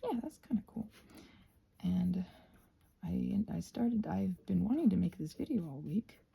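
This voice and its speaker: A woman rambling casually and effusively in a soft ASMR style, often slipping into whispers as she thinks aloud while crafting. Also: lowish voice, expressive, a little slow, gravelly, husky, highs cut off.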